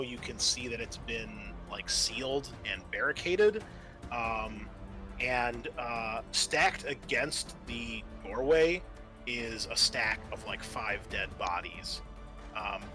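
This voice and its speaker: A man acting as game master, narrating a tabletop roleplaying game in a halting, self-correcting way. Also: medium pitch.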